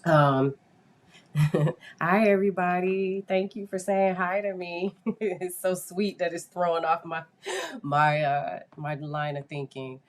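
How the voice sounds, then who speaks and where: A woman's voice: on the low side, fairly even intonation, slow. A woman hosting a live podcast in a casual chatty way, her voice nasal and congested from a cold. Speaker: podcast host, school psychologist.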